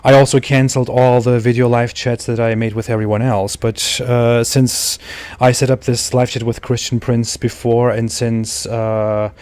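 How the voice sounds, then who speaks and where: A man's voice: medium pitch, little pitch movement, normal speed, darkish tone, dry recording. A man hosting a live audio chat, often restarting and repeating his words as he goes. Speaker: host.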